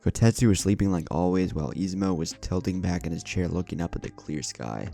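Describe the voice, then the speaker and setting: A man's voice: low voice, quick pace, gravelly, dark tone. A man reading a scripted story aloud as its narrator, including the characters' dialogue. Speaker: fan fiction narrator.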